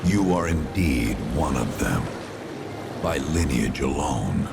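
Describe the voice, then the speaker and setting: Extremely deep, gravelly ancient spirit. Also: male voice, very animated, very noisy, heavily acted. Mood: grave, ominous. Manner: grave, formal, deliberate. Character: solemn, ancient male spirit of a lost tribe. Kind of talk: video game cutscene acting. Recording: loud music under the voice.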